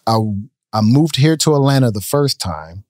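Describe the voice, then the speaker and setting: A man in his thirties, a business owner telling stories in a casual podcast interview, relaxed and talking with his hands. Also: medium pitch, moderate pace, dry recording. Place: podcast studio, indoors. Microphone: studio mic on a boom arm. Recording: dry close studio sound.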